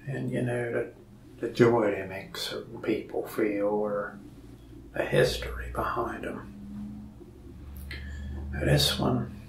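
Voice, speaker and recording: A young man talking softly and partly whispering as a folksy mask shop salesman in an ASMR roleplay, rambling in an easy way. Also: mid-range voice, creaky, echoey room, recorded from a distance, a little hiss or hum, low-quality recording. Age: young adult. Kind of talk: soft-spoken ASMR roleplay. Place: indoor room before a wall of masks.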